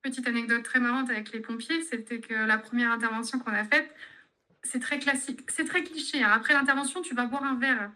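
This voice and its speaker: A young woman from France, a physiotherapist chatting in an interview and telling lively anecdotes. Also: fairly high pitch, a little fast. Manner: chatty, tells anecdotes with laughs. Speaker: guest, physiotherapist. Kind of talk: casual interview chat.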